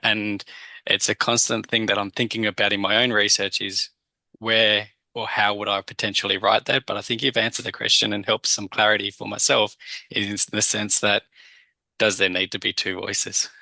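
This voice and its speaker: A man's voice: fairly low pitch, level tone, moderate pace, vocal fry, pushed and bright, dry recording. A man asking a question at an online conference, hesitant and searching for his words. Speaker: audience member asking a question. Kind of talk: conference Q&A on a video call. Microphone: video call.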